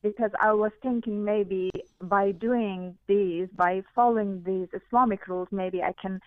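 A woman's voice: mid-range voice, steady tone, a little slow, no echo. A woman from Iran with a Persian accent, a guest who earnestly recounts her questions and experiences, using many fillers. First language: Farsi. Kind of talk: interview, personal testimony. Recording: tinny phone-like audio.

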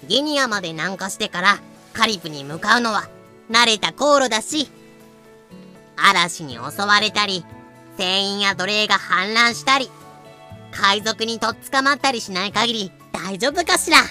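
A woman's voice: medium pitch, expressive, a little slow, sharp tone, soft background music. A woman playing a haughty but cowardly ship captain, theatrical, in an amateur audio drama. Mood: breezy confidence.

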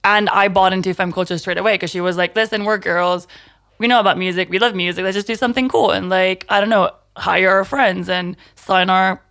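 A woman in her twenties, Italian-born and speaking with an American accent, chatting fast and loosely as a podcast guest and laughing at her own slips. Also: mid-range voice, steady tone, fairly bright tone. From Italy. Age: 20s. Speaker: podcast guest, music collective organizer. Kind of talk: casual podcast interview.

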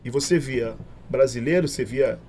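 A man's voice: mid-range voice, normal speed, over a noisy crowd. A man with a Santos Brazilian Portuguese accent, a nightclub promoter talking casually and animatedly, repeating his phrases. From Baixada Santista, Brazil. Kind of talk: casual podcast chat.

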